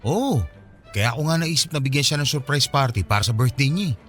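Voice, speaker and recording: A man's voice: mid-range voice, expressive, fast talker, fairly bright tone, soft background music, close to an everyday voice. An actor playing an easygoing, unromantic young man talking casually with a friend in a Filipino radio drama. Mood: grateful.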